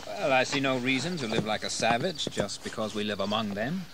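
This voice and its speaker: An actor playing a genteel, confident young colonial gentleman with formal speech in a historical audio drama. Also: mid-range voice, animated, fairly quick, close to an everyday voice. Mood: assured. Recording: noisy 1980s drama recording.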